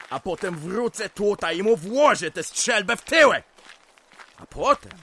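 Groźny, rozchwiany wiejski awanturnik. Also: male voice, high-pitched, slight background noise, heavily acted. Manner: sneering, threatening, wildly swinging from growl to yell. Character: violent, menacing village drunkard and abusive father. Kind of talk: radio drama acting.

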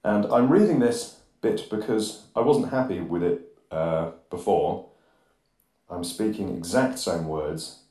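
A man hosting an absurdist comedy podcast, rambling deadpan monologue recorded on a phone. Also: low voice, slow pace. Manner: deadpan, rambling, drops articles. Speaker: podcast host. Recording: phone recording with reverb plugin, bathroom echo.

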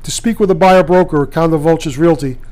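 A man reading a scripted commercial as an announcer, in a measured, formal way with clear phrasing. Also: on the high side, darkish tone. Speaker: advertisement announcer.

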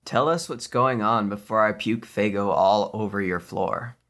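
Woman playing a very low, creaky teen sister. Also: unhurried, fairly bright tone, exaggerated voice. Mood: demanding. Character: sleepy, blunt teenage sister. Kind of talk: comedic table read.

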